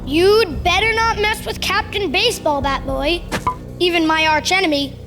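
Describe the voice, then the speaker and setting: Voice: very high voice, normal speed, music in the background. An actor voicing a boastful cartoon superhero boy with showy, exaggerated delivery. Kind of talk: cartoon voice acting.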